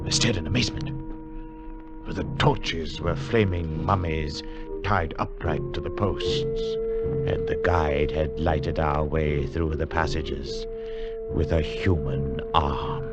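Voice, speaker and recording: Serious gentleman explorer, low voice, deliberate 1940s radio delivery, music under it. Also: steady tone, highs cut off. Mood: awed, ominous. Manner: dramatic, deliberate, literary phrasing. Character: sober English gentleman adventurer recounting his strange journey. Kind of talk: 1940s radio drama narration and dialogue. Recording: vintage radio broadcast with music behind.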